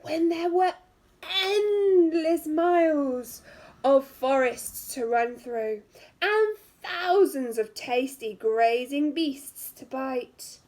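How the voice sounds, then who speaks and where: A woman teacher reading a picture book aloud to young children in an animated way, drawing out words and dropping into hushed asides. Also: high voice. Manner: animated, drawn-out storytelling with hushed asides and questions to listeners. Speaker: primary school teacher.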